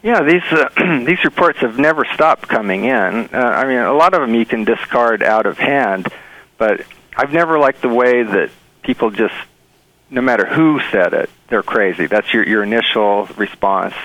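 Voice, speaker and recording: A man being interviewed as a bird researcher, telling stories in an earnest, enthusiastic, halting conversational way. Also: medium pitch, expressive, a bit bright, dry recording, low-quality recording.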